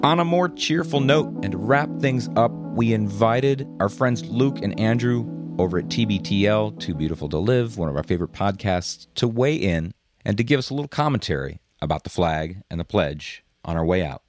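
A man narrating a podcast segment in a measured storytelling way. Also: on the low side, animated, over music. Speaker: podcast host. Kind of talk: scripted narration.

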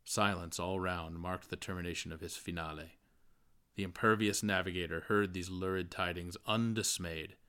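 A man's voice: low voice, steady tone, normal speed, sharp tone, clean tone. A man reading literary prose aloud as a scripted audiobook narration. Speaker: audiobook reader.

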